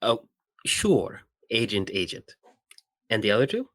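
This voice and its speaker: A man playing a courtly, earnest pilot whose mind lives in a box, speaking formally and haltingly in an improvised podcast roleplay. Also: lowish voice, sing-song, fairly bright tone, exaggerated voice. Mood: polite, formal.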